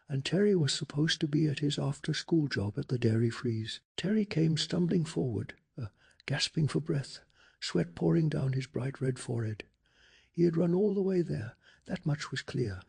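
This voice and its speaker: Male ASMR bedtime narrator: very husky and breathy, heavily creaky, hushed and measured in delivery. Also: a little high. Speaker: ASMR storyteller. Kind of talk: soft-spoken ASMR bedtime story narration.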